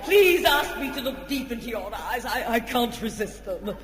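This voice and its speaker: A woman's voice: a little high, very animated, normal speed, over a noisy crowd, heavy background noise. An actor playing a coy, gushing married woman in old-time radio comedy, theatrical and fluttery. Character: coy, gushing married lady volunteering at a hypnotism stage act. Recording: echoey hall, old radio recording.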